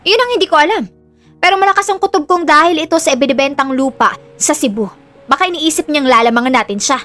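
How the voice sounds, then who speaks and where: A woman's voice: high voice, fast, bright, forceful tone. A woman with a Filipino accent playing a spiteful, greedy, scheming mother in an audio drama. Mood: cold scheming.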